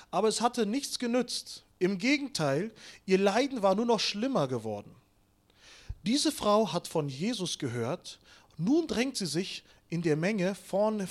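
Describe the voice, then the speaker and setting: A man in his late twenties, originally from Kazakhstan, preaching a sermon with lively storytelling and self-mocking humor while walking around. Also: high voice. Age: 20s. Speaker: pastor.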